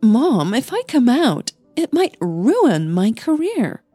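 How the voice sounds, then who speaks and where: A woman's voice: a little high, big pitch swings, slow pace, dark tone, husky, dry recording, soft background music, voice pushed up, full character voice. A woman voicing an anxious, defensive young daughter in an audio drama. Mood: defensive, tense.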